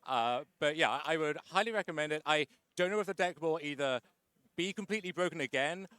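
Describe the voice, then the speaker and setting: Bright, crisp-voiced man being interviewed. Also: on the high side, a little fast, no echo. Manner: chatty, wry, with many "uh" fillers. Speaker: tournament winner being interviewed. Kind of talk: casual post-match interview.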